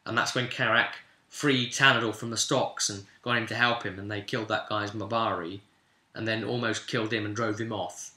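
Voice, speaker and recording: A man's voice: on the low side, fairly even intonation, normal speed, sharp tone. A man, a game master, recounting a tabletop roleplay session in a rambling, emphatic, casual storytelling way and acting out the odd remark. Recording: echoey, reverberant room.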